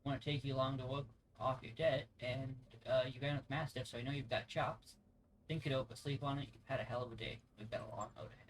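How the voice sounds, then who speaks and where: A man's voice: mid-range voice, steady tone, brisk, slight background noise, natural voice, poor audio. A man reading aloud the game dialogue of a friendly, plain-spoken mercenary officer, conversational and stumbling over some words. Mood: businesslike. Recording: music playing behind the voice.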